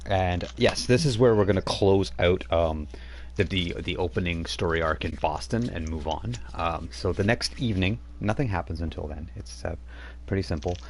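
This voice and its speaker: Deep-voiced man running a tabletop game. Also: normal speed, a little hiss or hum. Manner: rambling, hesitant, many fillers. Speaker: game master. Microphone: home microphone on a live stream.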